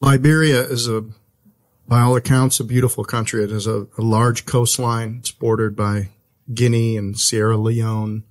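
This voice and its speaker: A man's voice: mid-range voice, flat delivery, moderate pace. A man hosting a podcast interview in a friendly, curious way, speaking with a few ums. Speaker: podcast host. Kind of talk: conversational interview.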